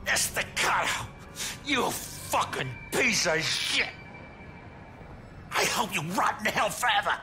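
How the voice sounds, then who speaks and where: An actor playing a desperate, foul-mouthed middle-aged mobster in a video game, swinging between pleading and venom. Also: male voice, high-pitched, animated, takes it slowly. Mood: furious. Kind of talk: video game drama acting. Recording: loud music behind the voice.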